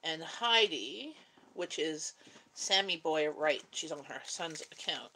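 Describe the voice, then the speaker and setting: A woman's voice: sits low, expressive, slow pace, bright, forceful tone, low-quality recording. A middle-aged Canadian woman chatting casually with viewers on a live stream, talkative and giggly. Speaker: live stream host, mother. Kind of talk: casual live chat with viewers while cooking.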